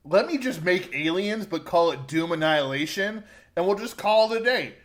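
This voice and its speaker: Male podcast co-host, fairly high voice, casual and opinionated reviewer. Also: animated, normal speed. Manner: conversational, informal, opinionated. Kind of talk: casual film review chat.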